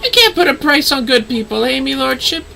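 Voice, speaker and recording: A woman's voice: high voice, lively intonation, brisk, gravelly, bright, forceful tone, slight background noise, heavily acted. A woman's voice playing a cheerful, deferential workshop helper in a fantasy game, with folksy, colloquial speech. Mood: cheeky, deferential. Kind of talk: fantasy game dialogue read aloud.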